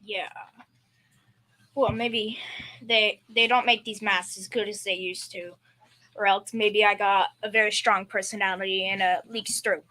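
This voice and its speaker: A woman's voice: mid-range voice, steady tone, measured pace, pushed and bright. A young female performer playing a bumbling, confidently clueless working-class dad in a school old-time radio comedy, with folksy bad grammar. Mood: sly, pleased. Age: young teen.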